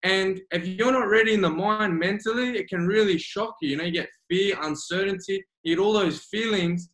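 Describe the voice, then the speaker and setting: A man's voice: high voice, moderate pace, a bit bright. A man with an Australian accent, a guest coach in a remote interview, speaking earnestly and repeating his key phrases for emphasis. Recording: roomy echo.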